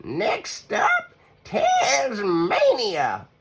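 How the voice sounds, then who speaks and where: A man voicing an excitable, kindly old grandmother possum in a children's storybook, with a high, strained falsetto and drawn-out exclamations. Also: vocal fry, slightly rough, exaggerated voice. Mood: delighted, fond.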